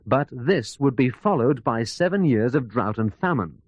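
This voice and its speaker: A man's voice: medium pitch, lively intonation, normal speed, dry recording, lightly acted. A man playing a radio news correspondent, narrating a scripted background report in a formal, articulate storytelling manner. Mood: measured, earnest. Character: male news correspondent recounting a biography for radio.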